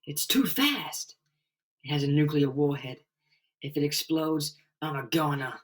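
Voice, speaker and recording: A man acts out a young flaming hero from a superhero comic. His voice is pushed up high, anguished and frightened, narrating his own danger with desperate urgency. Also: unhurried, close to an everyday voice.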